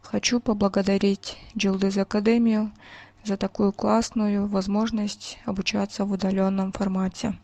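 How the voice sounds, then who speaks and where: Woman, 31, gravelly, monotone, dry close audio. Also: mid-range voice, moderate pace, dry recording. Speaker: student introducing herself.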